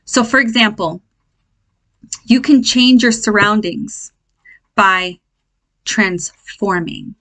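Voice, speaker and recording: A woman with a mid-pitched voice talks as the host of a spiritual self-help show. She takes her time and speaks unscripted, in a halting, conversational way. She often stops to check that the point has landed. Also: fairly bright tone, poor audio. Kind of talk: informal spiritual lesson, speaking off the cuff.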